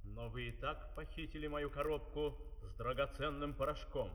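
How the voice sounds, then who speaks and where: An actor playing a noble, defiant captive inventor in a fairy-tale opera, earnest and declamatory in theatrical speech and song. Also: male voice, medium pitch, normal speed, lightly acted. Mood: indignant. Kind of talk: operatic singing and theatrical dialogue. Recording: old record, distant hall reverb, loud music.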